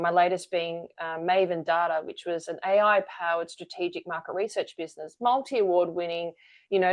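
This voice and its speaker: A woman, a guest telling her story candidly in an interview. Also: lowish voice. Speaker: guest, energy-work founder. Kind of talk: conversational interview.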